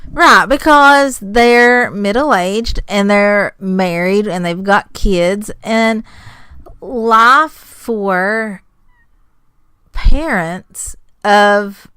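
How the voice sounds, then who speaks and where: Slow-talking woman with a dry, close sound. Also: a little high, fairly bright tone, clean tone, dry recording. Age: 40s. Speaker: podcast co-host. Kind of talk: casual chat.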